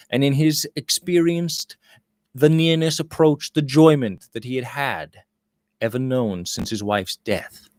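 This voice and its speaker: A man narrating a literary novel as an audiobook reader, with deliberate, expressive phrasing. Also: mid-range voice, dry recording.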